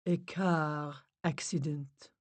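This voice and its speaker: A woman voicing a sympathetic female spirit medium who speaks low, soft and soothingly in an audiobook. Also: fairly even intonation, slow pace, no echo.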